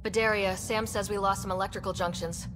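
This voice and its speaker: A confident squad leader played by a woman from a sci-fi game, with an average-pitched voice. She is brisk, concise and commanding, heard with long reverb over clear music. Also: fairly even intonation, close to an everyday voice. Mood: composed, decisive. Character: brisk, decisive female space squad leader. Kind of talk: video game voice acting.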